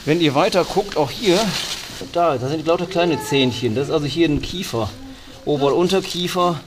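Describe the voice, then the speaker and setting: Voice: medium pitch, normal speed. A guide casually explaining things to children, in short, simple phrases. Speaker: guide showing children owl pellets. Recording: noisy location recording with clicks.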